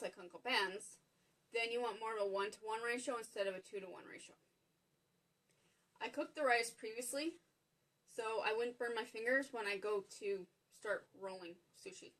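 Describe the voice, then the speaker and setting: A woman's voice: fairly high pitch, a bit bright. A woman with a Canadian accent hosting a live cooking demonstration from her home kitchen, chatty and apologetic, greeting viewers by name as she talks them through each step. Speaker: cooking show host.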